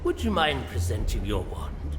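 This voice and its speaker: An actor playing an officious, fussy bank teller in a video game, pompous and formal. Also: male voice, mid-range voice, huge pitch swings, normal speed, background noise. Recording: music behind the voice.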